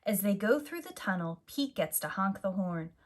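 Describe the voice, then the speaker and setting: A woman reading a children's picture book aloud as a teacher, in a deliberate, animated way for young listeners. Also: mid-range voice, a little hiss or hum.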